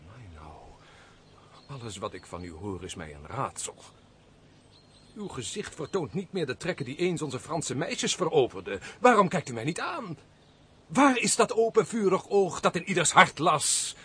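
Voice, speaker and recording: An actor playing an earnest army major and loyal old comrade, speaking formally and emotively in a radio drama. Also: male voice, a little high, very animated, normal speed, sharp tone, husky, exaggerated voice. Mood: dismayed, pleading.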